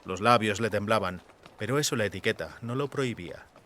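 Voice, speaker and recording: A man's voice: lowish voice, brisk, pushed and bright, slight background noise. A man reading scripted fantasy fiction as an audiobook narrator.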